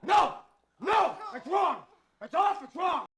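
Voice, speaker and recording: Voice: extremely high pitch, sing-song, slow, a bit bright, raised voice, some chatter behind, full character voice. An actor playing a manic, raging film villain in an exaggerated style. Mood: frantic rage.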